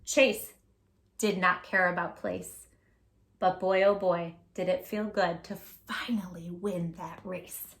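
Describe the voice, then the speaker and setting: A woman hosting storytime, reading a children's picture book aloud in a gentle, expressive, affectionate way. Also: on the low side, slow pace, echoey room.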